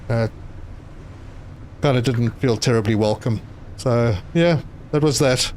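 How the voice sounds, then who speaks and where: Male streamer, mid pitch, casual and hesitant, faint game noise behind. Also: darkish tone. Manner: chatty, reads chat aloud, hesitant with fillers. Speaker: gaming live streamer. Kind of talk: casual live stream commentary.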